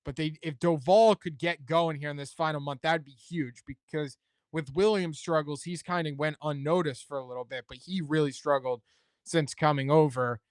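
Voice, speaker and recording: Male sports podcaster, smooth tone, dry close sound, casual and conversational, reasons things out as he goes. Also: a little high, steady tone, moderate pace, no echo. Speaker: sports talk show host. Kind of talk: casual sports commentary chat.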